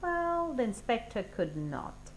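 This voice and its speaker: Storybook reader: woman, slow and clearly animated delivery aimed at young children, some noise on the recording. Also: a little high, rough recording. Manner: deliberate, expressive reading for young children. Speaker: teacher reading a storybook aloud.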